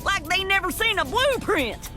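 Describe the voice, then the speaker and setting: An actress voicing a chatty, wry, folksy female mechanic in a video game, over loud music. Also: extremely high pitch, very animated, normal speed. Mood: wry, animated. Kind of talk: video game character acting.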